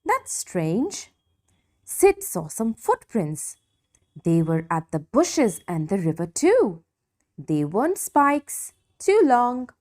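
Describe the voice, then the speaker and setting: A woman reading a children's story expressively, with deliberate dramatic emphasis for young listeners. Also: mid-range voice, huge pitch swings, darkish tone. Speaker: storybook reader.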